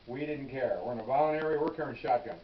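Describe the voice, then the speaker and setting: A retired police officer, an older man, telling a story from his career to the camera in a casual, animated way and acting out the shouting. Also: medium pitch, fast. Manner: animated, acts out his own yelling. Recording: roomy, distant, dull with hiss.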